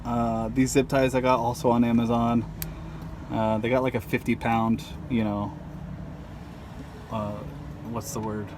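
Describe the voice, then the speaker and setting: Clear background noise from outdoors and a vehicle surrounds a man with a mid-pitched voice. He talks through a hands-on job casually and without a script. His delivery is laid-back and a little hesitant, with loose, modest wording. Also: flat delivery, a little slow, long pauses. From Southern California, lives there. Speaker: vlogger demonstrating a DIY truck install. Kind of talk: casual unscripted how-to walkthrough. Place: outdoors in and under a pickup truck. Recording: noisy handheld outdoor vehicle recording. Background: clear outdoor and vehicle ambience.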